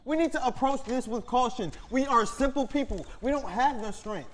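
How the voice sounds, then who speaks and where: Very high-voiced, cautious male worker played by an actor. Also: normal speed. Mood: exasperated. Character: cautious, worried worker urging restraint. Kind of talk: theatrical staged reading.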